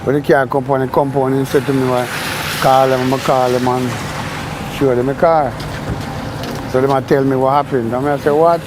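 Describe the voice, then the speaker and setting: A man's voice: mid-range voice, fairly even intonation, normal speed. A man with a Jamaican accent giving a casual account in an interview. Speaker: interviewee, street vendor. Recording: noisy location interview.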